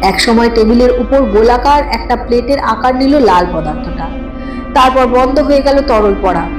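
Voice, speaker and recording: A woman narrating a scripted horror story with expressive, dramatic suspense. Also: a little high, normal speed. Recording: roomy echo, distant voice, background music. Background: music.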